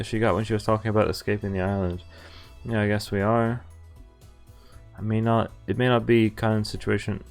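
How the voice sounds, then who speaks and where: A man streaming a visual novel, reading it aloud and adding casual, playful, reactive commentary. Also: fairly low pitch. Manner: playful, reactive, acts out dialogue. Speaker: game streamer. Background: game music.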